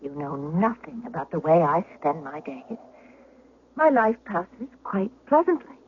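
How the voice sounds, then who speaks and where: An actress playing an elegant, proud and conflicted duchess, with a formal, haughty, dramatic manner in 1970s radio drama. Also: female voice, lowish voice, very animated, measured pace. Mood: indignant. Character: elegant, proud, conflicted young duchess resisting an old flame. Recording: muffled vintage radio recording, faint hiss.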